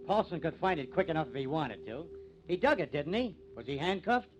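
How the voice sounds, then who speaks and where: A man's voice: a little high, big pitch swings, fairly quick. An actor playing a bitter, hot-headed young man, sneering and combative, in 1950s radio drama style. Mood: bitter, scornful. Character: bitter, hot-headed young farmer's son, contemptuous of lawmen. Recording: muffled, noisy old radio recording.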